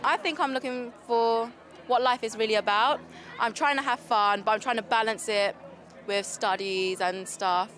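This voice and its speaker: A woman's voice: fairly high pitch, steady tone, moderate pace, fairly bright tone. A young woman talking casually and personally in an interview. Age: young adult.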